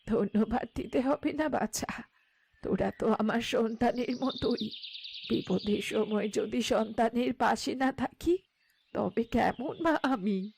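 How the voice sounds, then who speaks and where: A woman voicing a kindly old village beggar woman in a cartoon, speaking slowly in a motherly, coaxing way. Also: fairly high pitch, vocal fry, darkish tone, husky, exaggerated voice. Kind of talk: cartoon moral story dialogue.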